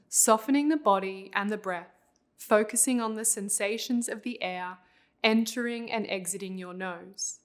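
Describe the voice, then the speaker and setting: Woman, meditation guide, mid-range pitch, very unhurried pace, calm instructional delivery.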